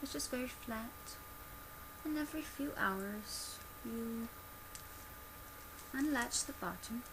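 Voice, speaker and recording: A woman in her twenties speaking softly and quietly in a gentle, instructional ASMR demonstration, recorded at home. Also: fairly high pitch, takes it slowly. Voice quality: soft, quiet. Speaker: ASMR creator playing a customer service presenter. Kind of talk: soft-spoken ASMR product demonstration. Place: small bedroom, indoors. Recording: noisy amateur home webcam recording. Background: clear background noise.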